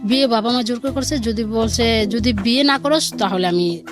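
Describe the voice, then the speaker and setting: A woman's voice: a little high, normal speed. Woman telling her story over music. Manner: rambling, quotes dialogue as she goes. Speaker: guest telling own life story. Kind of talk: informal personal storytelling. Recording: music bed under the voice. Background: background music.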